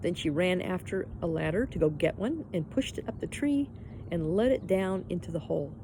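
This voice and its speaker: A woman's voice: on the low side, expressive, moderate pace, noisy background. A woman narrating a children's story expressively, like a teacher reading aloud.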